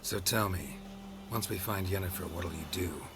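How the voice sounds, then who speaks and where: An actor playing a stoic, laconic monster hunter in a video game, with a low growling voice and clipped, dry delivery. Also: male voice, normal speed, noisy background, lightly acted. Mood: dry, calm. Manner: clipped, deadpan, understated.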